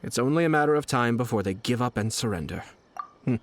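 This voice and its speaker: A man voicing a poised, shrewd nobleman in a video game, measured, formal and self-assured. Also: medium pitch, lively intonation, slight background noise, natural voice. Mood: calm, calculating. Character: poised, scheming young nobleman, calm and shrewd.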